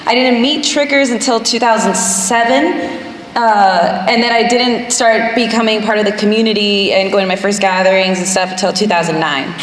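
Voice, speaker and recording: A woman's voice: medium pitch, fairly even intonation, normal speed. A woman from the DC area introducing herself on a panel, upbeat and talkative. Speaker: panelist, team co-founder. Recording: echoey hall with loud crowd.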